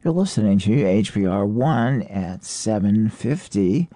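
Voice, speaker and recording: A man with a mellow, lowish voice announces on local radio. He reads his scripted introduction slowly, with lively pitch movement. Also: no room sound.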